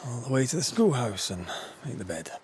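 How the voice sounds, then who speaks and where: A man's voice: medium pitch, sing-song, a little fast, slight background noise, lightly acted. An actor playing a compassionate, loyal male ghost hunter in a video game, with an earnest, plain-spoken manner. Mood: gentle, subdued. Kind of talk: dramatic video game acting.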